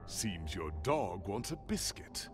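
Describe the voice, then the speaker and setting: A smug, haughty gentleman villain in a video game. His formal, mocking lines glide up and down in pitch with quiet menace, over music. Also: sing-song, takes it slowly, heavily acted. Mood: smug, menacing. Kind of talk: video game character acting. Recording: music behind the voice.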